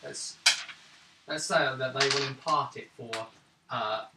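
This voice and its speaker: A man narrates as game master in a tabletop role-playing session, making up the scene as he goes. His voice sits at a middling pitch, picked up from a distance in an echoey room, with clear background noise. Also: measured pace. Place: indoor room around a gaming table.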